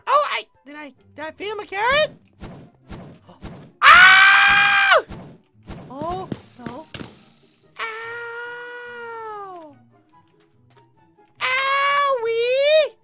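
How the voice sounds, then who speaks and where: A woman playing an excitable, easily flustered young cartoonish heroine in a Minecraft roleplay, exclaiming and repeating her phrases. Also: very high voice, extremely slow, pauses often, shouting, over music, heavily acted. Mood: excited, indignant. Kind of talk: Minecraft roleplay gameplay acting.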